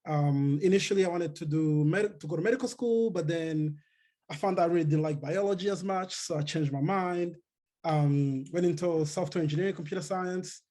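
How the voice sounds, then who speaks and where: A man from Rwanda giving a relaxed talk on a video call, with many fillers and restarts. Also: on the high side, moderate pace. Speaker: guest speaker. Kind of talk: casual technical talk.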